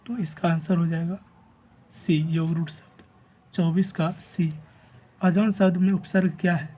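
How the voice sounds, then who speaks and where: A man with a sore throat and a rough voice, steadily reading exam questions and giving each answer and option like a teacher. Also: fairly high pitch, fairly even intonation, unhurried, dark tone. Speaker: teacher going over an exam answer key.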